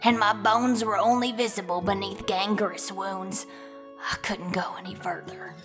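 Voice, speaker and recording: A woman's voice: medium pitch, steady tone, over music, close to an everyday voice. A woman voicing a vengeful young village woman turned hag in a brooding dramatic horror monologue. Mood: anguished, vengeful. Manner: slow, brooding, swelling from hushed whispers to intense outbursts.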